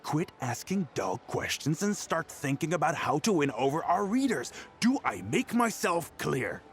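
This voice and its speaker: Unscrupulous male newspaper boss for a game: higher-set voice, scornful, condescending, very animated. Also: normal speed, soft background music. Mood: scornful, impatient. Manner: condescending, hectoring, slangy. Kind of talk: video game voice acting.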